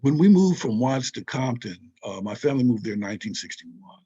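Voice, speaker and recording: An older man with a husky edge to his voice, recorded on a dull, lo-fi mic. He tells history in long, digressive stretches and stresses his points as he goes. He pulls the listener along with rhetorical questions. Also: mid-range voice, big pitch swings, fairly quick, dark tone. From Southern California. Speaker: guest, historian and author. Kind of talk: interview conversation.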